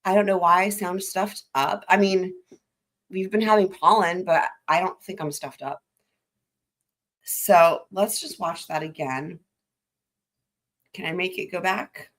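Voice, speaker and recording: A woman hosting a live stream, chatting excitedly and directly to her viewers about courtroom clips. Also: lowish voice, a little slow, long pauses. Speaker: livestream host. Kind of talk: casual live commentary.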